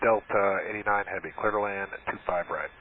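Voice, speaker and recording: A man's voice: low-pitched, steady tone, brisk, a crowd in the background. A man speaking as an airline pilot, giving clipped readbacks over cockpit radio. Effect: cockpit radio transmission. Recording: narrow-band, hissy cockpit radio. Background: clear hiss and aircraft noise.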